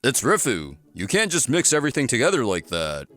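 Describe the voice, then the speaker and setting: A man's voice: mid-range voice, huge pitch swings, fairly quick, fairly bright tone. A man playing a hearty, courteous restaurateur in his fifties in a manga drama. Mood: boisterous. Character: jovial fish-trading restaurateur in his fifties. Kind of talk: manga voice acting. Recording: music behind the voice.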